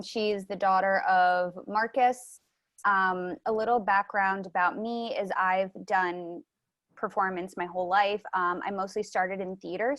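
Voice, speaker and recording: A woman's voice: mid-range voice, a bit bright, no room sound. Young American woman, dry close sound. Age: young adult. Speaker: actress. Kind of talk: casual self-introduction.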